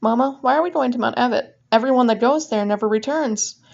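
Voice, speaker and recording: A woman's voice: on the high side, a little fast, creaky, darkish tone, voice pushed up. A woman voicing an anxious, questioning young girl in a story reading. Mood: worried. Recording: dull, lo-fi microphone sound.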